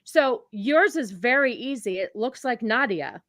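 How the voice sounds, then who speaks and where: A woman's voice: fairly high pitch, animated, a little slow, a bit bright, no echo, studio quality. A woman chatting as a podcast guest in an earnest, heartfelt and self-deprecating way from her home streaming setup. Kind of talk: casual chat.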